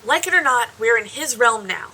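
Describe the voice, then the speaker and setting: A woman's voice: a little high, animated, moderate pace, pushed and bright, slight background noise. A woman playing a blunt, practical, impatient young adventurer in a fantasy audio drama. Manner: blunt, colloquial, encouraging.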